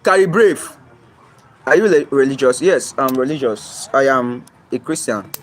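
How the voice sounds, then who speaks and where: A man's voice: mid-range voice, lively intonation, normal speed. A young Nigerian man with a Nigerian English accent, livestreaming casually from his phone outdoors near his home, reading viewer comments aloud and answering them. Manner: reads viewer comments aloud and answers them, often asks "you understand?". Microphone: phone camera, flipped to show surroundings.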